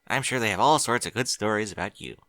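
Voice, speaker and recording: A man's voice: on the low side, fast talker, bright, forceful tone, no room sound, clean studio sound. A man voicing a cheerful, studious young unicorn mare in a fan fiction audiobook, speaking politely. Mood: friendly. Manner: polite, bookish.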